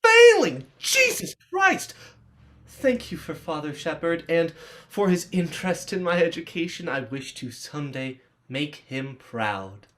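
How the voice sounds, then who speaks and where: A man performs a defiant teenage schoolboy in a dark comic play. The voice is bright and forceful, with big melodramatic swings of pitch. He takes his time, cheeky and sarcastic. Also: very animated, a little slow. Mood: indignant sarcasm. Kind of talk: dramatized play script reading.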